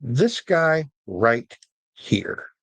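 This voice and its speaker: Man, mid-pitched voice, casual explainer, chatty, rambling jokey asides, dry sound. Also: very animated, extremely slow, fairly bright tone, husky, dry recording. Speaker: host and storyteller.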